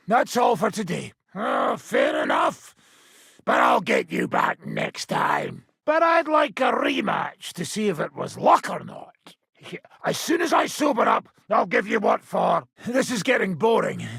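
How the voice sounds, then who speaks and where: An actor playing a brash, hard-drinking medieval fighter and combat trainer in a video game, gruff and taunting, with a raspy, gravelly voice. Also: male voice, sits high, a bit bright, no room sound, clean studio sound, heavily acted. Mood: boisterous, teasing.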